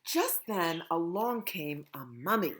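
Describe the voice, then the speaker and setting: A woman children's librarian reading a picture book aloud at storytime, slow and expressive. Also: on the low side.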